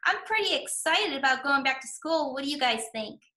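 A woman's voice: high voice, expressive, fairly quick, bright, forceful tone. A woman voicing an eager, cheerful young child puppet in a children's puppet show, exclaiming in a childlike way. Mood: excited. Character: excited, cheerful young child puppet going back to preschool. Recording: echoey room, faint background noise.

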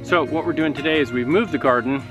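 A man's voice: fairly high pitch, fast, music in the background, low-quality recording. A man in his forties chatting casually to camera as a homestead vlogger, recorded outdoors. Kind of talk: casual vlog chat to camera. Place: outdoors, yard and busy farm meet. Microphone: handheld or nearby camera.

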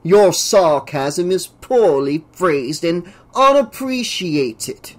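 A man voicing a pompous, demanding male scientist obsessed with his own genius, in a drawn-out, grandiose delivery for an audiobook story. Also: sits high, lively intonation, pitched above the performer's own voice.

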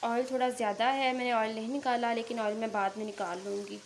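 A woman's voice: fairly high pitch, little pitch movement, fast talker, a little hiss or hum. Woman walking viewers through a recipe. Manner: instructive, addresses viewers directly. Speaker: cooking channel host. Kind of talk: step-by-step recipe narration. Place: home kitchen at the stove.

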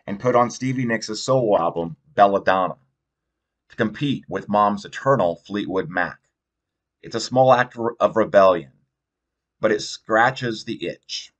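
A man reading a novel aloud in an expressive storytelling style. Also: on the low side. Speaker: audiobook reader.

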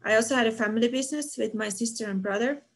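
A woman's voice: on the high side, quick pace. A middle-aged woman from Finland, a university professor, answering questions from the chat on an online lecture call, with many fillers. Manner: reads chat comments aloud and answers them, with fillers.

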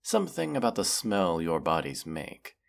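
A man voicing a proud, menacing wounded dragon in a fantasy audio roleplay, speaking in a haughty, deliberate, archaic way. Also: deep voice, very animated, vocal fry, exaggerated voice. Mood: menacing musing.

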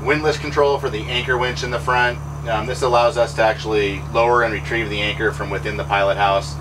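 A man giving a relaxed, practical walkthrough of his boat's helm electronics, talking casually with fillers. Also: medium pitch, brisk, background noise. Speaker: boat owner giving a tour. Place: pilot house of a trawler yacht underway.

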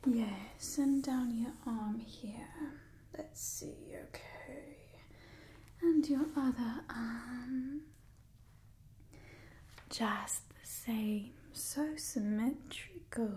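A young woman performing a whispered ASMR roleplay as an imperious, doting old vampire clan overseer, breathy and theatrical. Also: a little high, mellow, slight background noise. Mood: appraising, pleased. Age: young adult.

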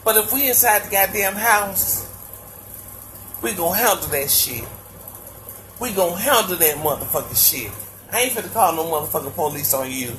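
A woman's voice: fairly low pitch, expressive, normal speed, pauses often, fairly bright tone, slight background noise, poor audio. A woman in a video clip, ranting in a blunt, defiant way with a lot of cursing. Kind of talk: blunt casual rant.

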